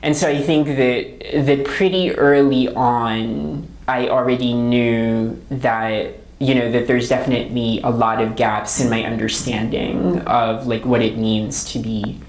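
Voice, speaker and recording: A woman's voice: very deep voice, fairly even intonation, measured pace, hardly any pauses, darkish tone, low-quality recording. A woman from the Philippines, an author speaking as a guest, answering questions in a thoughtful, searching way and often checking in with a questioning tag. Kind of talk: reflective talk answering audience questions.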